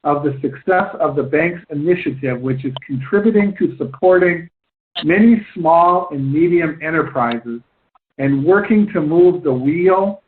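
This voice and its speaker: Over a thin, phone-like line, a slightly high-voiced man hosts a conference call. He explains points at length, haltingly, circling back to rephrase his phrases as he goes.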